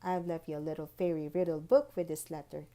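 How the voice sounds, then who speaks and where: A woman's voice: deep voice, flat delivery, brisk, dark tone. A woman reading the letters of a courteous, formal tooth fairy in a proper storybook manner. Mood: playful, praising.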